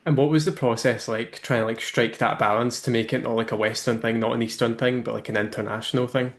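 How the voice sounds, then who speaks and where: Measured male interviewer, mid-pitched. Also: steady tone. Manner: measured, with casual likes in questions. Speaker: interviewer and host. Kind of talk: scripted intro then casual interview questions.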